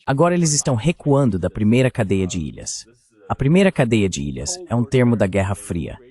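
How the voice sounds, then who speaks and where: Lowish-voiced man explaining geopolitics. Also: sing-song, brisk, a little mellow. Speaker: panel guest, geopolitical analyst. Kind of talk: political commentary in a panel discussion.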